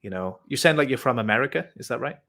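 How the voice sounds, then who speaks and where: A man's voice: mid-range voice, animated, quick pace, bright, forceful tone. A man from Wales with a British accent, talking casually and persuasively in a friendly, informal, encouraging way as a host. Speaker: host, vegan activist. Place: online voice chat.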